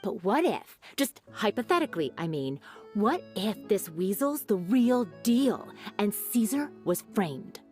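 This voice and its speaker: A woman's voice: mid-range voice, very animated, unhurried, a little mellow. A woman playing a gossipy, teasing townswoman in a video game, chatty and theatrical. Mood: curious. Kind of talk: video game character acting. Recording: music behind the voice.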